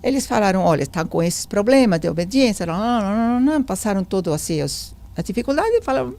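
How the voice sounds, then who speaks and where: Older woman, non-native, animated storyteller. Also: medium pitch, a little fast. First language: not Portuguese. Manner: animated storyteller acting out quoted dialogue. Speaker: podcast guest. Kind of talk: storytelling in a relaxed interview.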